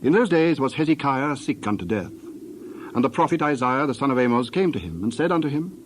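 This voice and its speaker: A man's voice: mid-range voice, animated, fairly quick. Solemn male scripture narrator, noisy recording.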